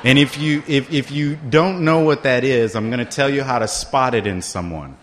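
A man's voice: medium pitch, moderate pace, slight background noise. A middle-aged man telling his own story at a recovery meeting, conversational and often checking in with the listeners. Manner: conversational, keeps asking "right?" to the room. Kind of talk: personal story told to a meeting.

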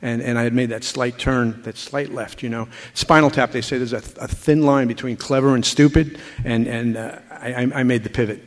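An older man telling his recovery story to a group, halting and full of restarts. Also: mid-range voice, fairly even intonation. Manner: halting, with frequent repeats and restarts. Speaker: speaker sharing a recovery story. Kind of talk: personal testimony to a group. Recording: hall reverb.

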